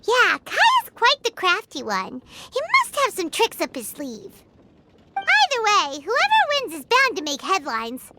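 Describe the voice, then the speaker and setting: A woman voicing a small, chatty, cheeky childlike fairy girl in an animated game, squeaky and exclamatory. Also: measured pace, heavy background noise, exaggerated voice. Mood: eager, wondering. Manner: speaks of self in third person, exclamatory. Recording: background music behind voice. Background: loud music.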